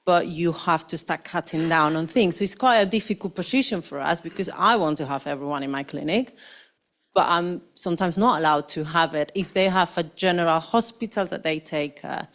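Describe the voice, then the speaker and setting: Earnest woman doctor on a panel. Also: lowish voice. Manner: rambling, earnest, non-native phrasing. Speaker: MPN specialist doctor. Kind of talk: panel discussion remarks. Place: conference hall.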